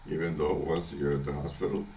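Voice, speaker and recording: An older man with a congested, hoarse voice from illness, talking in a halting casual video diary at home, often chuckling. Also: rumbling low, steady tone, warm tone, slight background noise. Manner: halting, many restarts and fillers, chuckles often. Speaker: vlogger. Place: at home, early morning.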